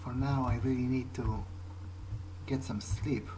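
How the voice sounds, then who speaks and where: Casting: man as tentative, awkward vampire for game roleplay, medium pitch, understated, clearly noisy recording. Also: fairly even intonation, measured pace, warm tone, close to an everyday voice. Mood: wry musing. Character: hesitant, awkward, protective male vampire, musing aloud. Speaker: gamer playing in character. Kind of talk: improvised in-character video game roleplay.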